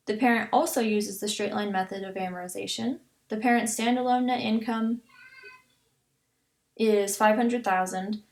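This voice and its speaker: A woman's voice: fairly high pitch, darkish tone. A woman instructor giving an explanatory tutorial, reading and thinking aloud with some self-correction. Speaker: accounting instructor. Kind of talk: explanatory tutorial, reading then working through a problem.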